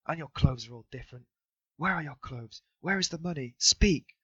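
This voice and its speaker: A man's voice: on the high side, expressive, moderate pace, a bit bright, husky, dry recording, voice pushed up. A man voicing a frantic, impoverished mother who shrieks desperate accusations. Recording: dull, dry recording.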